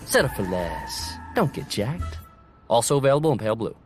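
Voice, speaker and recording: A man acts out a pompous parody car salesman. The mid-pitched voice glides between grand and emphatic, with music behind. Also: very animated, brisk, natural voice. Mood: mock-suave. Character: smooth, pompous male car-commercial pitchman.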